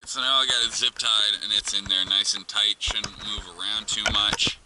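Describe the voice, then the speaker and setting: A man's voice: lowish voice, flat delivery, normal speed. A man hosting a do-it-yourself vlog, explaining a hands-on job in an informal, offhand way with some crude slang. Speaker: vlogger, DIY car channel host. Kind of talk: casual hands-on how-to narration. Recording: noisy amateur camera audio with clicks.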